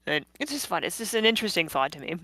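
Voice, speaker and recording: A woman with a low voice talks casually in a close, dry recording. She is a comic creator giving rambling, giggly commentary with a self-deprecating streak. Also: sing-song, very fast, bright, forceful tone, no echo. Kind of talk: casual live commentary chat.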